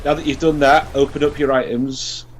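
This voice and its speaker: A man's voice: medium pitch, little pitch movement, fairly quick, noisy background. A man giving casual let's play commentary, explaining what to do and swearing now and then. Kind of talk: casual gameplay commentary and chat. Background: video game music.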